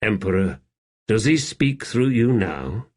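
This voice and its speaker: A man voicing a shrewd slave in an audiobook, calm, deferential and measured, speaking quietly. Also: lowish voice, exaggerated voice. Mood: careful, deferential. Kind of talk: audiobook character acting.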